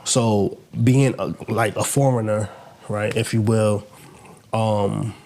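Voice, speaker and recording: A man's voice: on the low side, steady tone, takes it slowly, a little mellow. A man from Massachusetts and New York City, a podcast guest, speaking reflectively and often ending points with "right?". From Massachusetts and Queens, New York. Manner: reflective, tags statements with "right?". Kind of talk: interview conversation.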